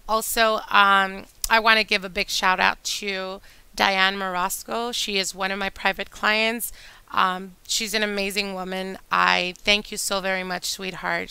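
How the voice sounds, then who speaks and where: Woman tarot reader, slightly hoarse, crisp bright tone, dry close sound, chatty. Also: mid-range voice, dry recording, poor audio. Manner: chatty, warm address to viewers, whispers during shuffling. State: slightly hoarse from a long day. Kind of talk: casual tarot reading monologue. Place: indoor table with candles and decor.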